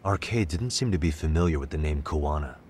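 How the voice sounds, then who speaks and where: Low-voiced, composed male detective. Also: lively intonation, quick pace, a little mellow, slight background noise. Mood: serious, analytical. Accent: American. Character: sharp, composed male detective investigating a case. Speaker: video game voice actor. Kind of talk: English dub game cutscene dialogue.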